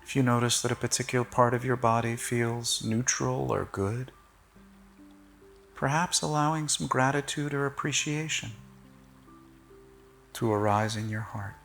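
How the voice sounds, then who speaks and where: Creaky-voiced man guiding a slow meditation. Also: medium pitch, fairly even intonation, soft background music. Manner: gentle, measured, soothing delivery in short phrases. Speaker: meditation guide. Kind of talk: slow, pausing guided body-scan meditation.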